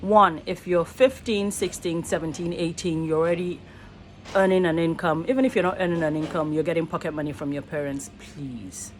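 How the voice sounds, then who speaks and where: A woman's voice: on the low side, a little fast, slight background noise. A middle-aged Nigerian woman, an actor and voice artist, musing in a rambling, earnest selfie vlog from a small room, often stopping to rephrase herself. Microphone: phone at arm's length.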